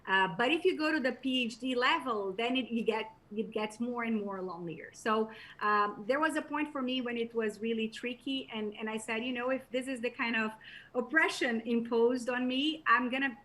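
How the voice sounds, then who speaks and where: A woman speaking English with a Brazilian Portuguese accent, her voice sitting a little high. She answers an interviewer thoughtfully, often pausing on fillers and restarting her sentences. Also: a bit bright, low-quality recording. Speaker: guest, professor and journalist.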